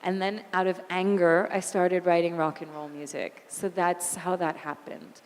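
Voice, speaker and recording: A woman in her thirties with a raspy voice, speaking candidly as an interview guest and telling animated stories about her life. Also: fairly low pitch, normal speed. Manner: candid, animated storyteller who laughs easily. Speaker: interview guest, singer and actor. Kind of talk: onstage conversation. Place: event hall. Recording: long hall reverb.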